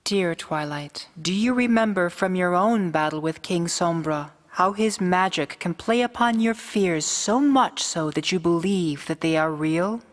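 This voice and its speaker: A woman voicing a wise, ancient pony princess in a fan radio drama, speaking formally and gravely. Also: lowish voice, natural voice. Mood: grave, regretful. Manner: formal, measured, grave diction.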